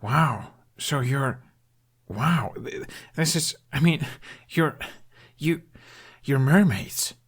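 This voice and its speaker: A man's voice: mid-range voice, expressive, breathy edge, pitched above the performer's own voice, heavily acted. A man voicing an awkward, easily embarrassed teenage boy who stammers and halts as he talks. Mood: awestruck, flustered. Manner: stammering, halting, mumbling.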